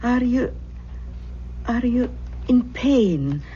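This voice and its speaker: A homely older aunt, fond and gently fussing over her family. Her tone is soft and mellow. It sounds like an old radio play, muffled, with clear background noise. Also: a little high, lively intonation, extremely slow. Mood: worried, gentle. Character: affectionate, homely older Scottish aunt, quietly worried. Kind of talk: old-time radio drama acting. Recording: muffled, noisy vintage radio recording.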